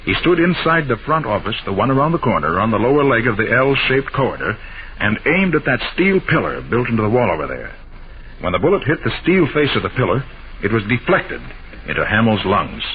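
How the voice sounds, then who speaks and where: An actor playing a clever, self-assured private detective in 1940s radio drama style, explaining briskly and methodically. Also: male voice, mid-range voice, big pitch swings, lightly acted. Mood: confident, explaining. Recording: muffled, hissy old radio broadcast.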